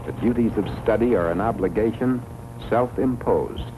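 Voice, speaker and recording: A man reads scripted 1950s educational film narration in a measured, formal way, on a vintage film soundtrack. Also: on the low side, noisy background. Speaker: documentary narrator.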